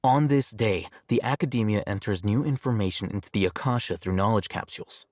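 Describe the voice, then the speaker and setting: An actor playing an aloof, coolly logical young male scholar in a video game, measured, detached and matter-of-fact. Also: fairly low pitch, a little mellow, no echo, studio quality, natural voice. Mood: explanatory, grave. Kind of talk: video game character dialogue.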